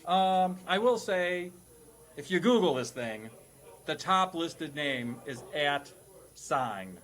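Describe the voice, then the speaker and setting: A man's voice: on the high side, very animated, slow pace, fairly bright tone. A man hosting a casual comedic quiz as a teacher, explaining things in a nerdy, wordy, playful way. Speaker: quizmaster host playing a teacher.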